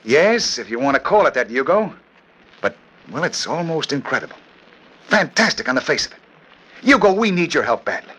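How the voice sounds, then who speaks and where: Worried police commissioner, slightly high-voiced. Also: male voice, sing-song, fairly quick, pauses often, fairly bright tone, slightly rough, full character voice, rough recording. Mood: troubled, baffled. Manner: earnest, emphatic. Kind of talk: 1940s radio acting.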